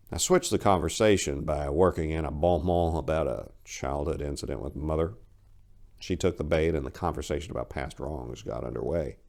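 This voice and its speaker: Deep-voiced man reading dramatically. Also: creaky, darkish tone. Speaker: narrator of a short story.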